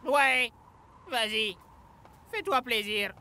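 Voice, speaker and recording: A man's voice: extremely high pitch, expressive, slow pace, sharp tone, heavily acted. A man voicing an exasperated, sarcastic young character in a French cartoon dub.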